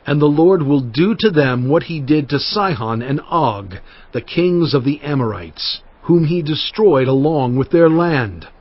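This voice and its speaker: Husky, solemn aged prophet. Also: male voice, fairly high pitch, lively intonation, a little slow. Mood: encouraging, firm. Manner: solemn, emphatic oratory. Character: aged male prophet and leader, solemn, fervent, exhorting. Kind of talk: dramatized scripture reading. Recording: muffled audio with music underneath.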